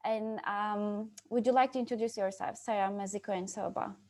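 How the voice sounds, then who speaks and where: A woman's voice: medium pitch, fairly even intonation, normal speed, a little mellow. A young woman from Turkey moderating an online breakout session, hesitant, with many fillers and restarts. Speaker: youth moderator. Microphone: video call.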